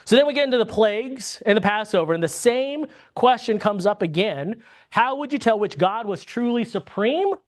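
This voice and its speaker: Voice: mid-range voice, animated, normal speed, hardly any pauses. Bible class teacher from the States, conversational lesson, keeps checking in with the class and restarting sentences. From United States. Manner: checks in with frequent tag questions; restarts and repeats words mid-sentence.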